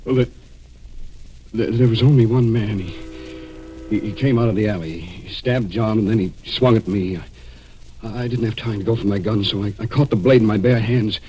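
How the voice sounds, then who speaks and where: An American actor in 1940s radio style playing a wounded, determined man telling of a murder hunt, dramatic and at times halting. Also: on the low side, warm tone, a little hiss or hum, lightly acted, rough recording. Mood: shaken, grim. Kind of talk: 1940s radio acting, first-person narration and dialogue.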